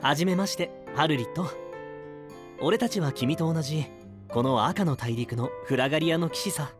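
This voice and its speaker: An actor playing a friendly, playful young male knight in an anime-style voice drama, smooth-talking and teasing. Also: a little high, normal speed, lightly acted. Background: music.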